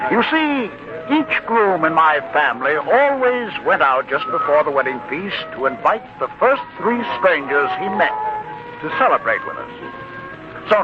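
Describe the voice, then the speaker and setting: A hearty, authoritative father of the bride. His high voice swings widely as he declaims in 1940s radio drama fashion. The old recording sounds muffled.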